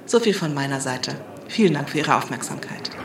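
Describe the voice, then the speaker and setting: Stiff official, echoey and distant. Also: female voice, sits low, animated, brisk. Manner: stiff officialese, stumbling over the text. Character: female official reading a callous bureaucratic statement, flustered by script. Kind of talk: formal press statement in a radio play. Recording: echoey, distant microphone with crowd noise.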